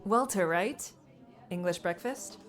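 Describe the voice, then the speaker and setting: A woman's voice: lowish voice, slow. A woman playing an attentive, chatty cafe waitress in an audiobook, courteous in manner. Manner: courteous, service-minded.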